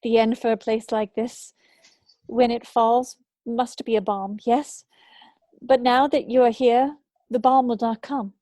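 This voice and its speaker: A woman acts out a bitter, grieving female scientist in a dramatic script read. The voice is fairly high yet soft and mellow, very close and dry. The delivery is solemn, deliberate and accusing. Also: fairly even intonation, no echo, natural voice. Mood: grave, wary.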